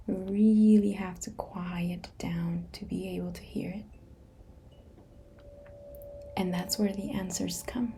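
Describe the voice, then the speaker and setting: A woman who whispers almost every word, unhurried and soft. Her tone is mellow and dark. A faint hiss of room ambience sits under her voice. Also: medium pitch. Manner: soft unvoiced whisper throughout, slow and gentle. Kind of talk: whispered meditation talk.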